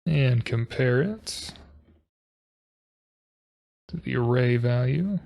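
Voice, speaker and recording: A monotone man walks through his code aloud, low-key and thinking as he goes. His voice sits at an ordinary pitch with a gravelly, creaky texture. He sounds like a casual coding tutorial host at his desk. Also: drawn-out words. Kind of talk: casual screen-recorded coding walkthrough.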